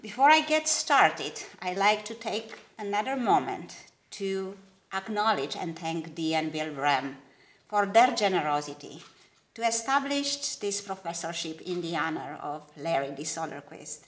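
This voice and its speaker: A middle-aged woman with a Romanian accent speaks in a low, even voice into a podium microphone. Her acceptance speech is gracious and measured, delivered in a wood-panelled lecture hall. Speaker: award recipient giving a lecture.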